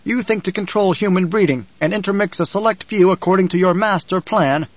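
A man's voice: high-pitched, a little fast, no room sound, muffled recording, voice pushed up. A man voicing a cold, defiant young nobleman in an audiobook, speaking in a controlled, declamatory way. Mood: angry command.

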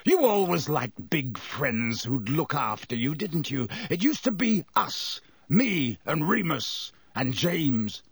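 A man's voice: a little high, big pitch swings, no room sound, clean studio sound, exaggerated voice. A man voicing a bitter, brooding escaped prisoner in an audiobook, with a growling, venomous delivery. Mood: accusing, bitter. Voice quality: growling, gravelly. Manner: venomous, hissing, deliberate. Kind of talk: audiobook character voice.

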